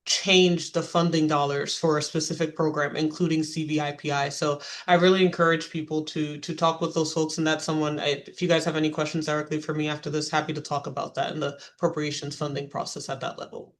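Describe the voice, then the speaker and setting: A man's voice: on the high side, little pitch movement, a little fast, few pauses, a bit bright. A man on a panel giving an informal, matter-of-fact expert explanation as a policy advocate.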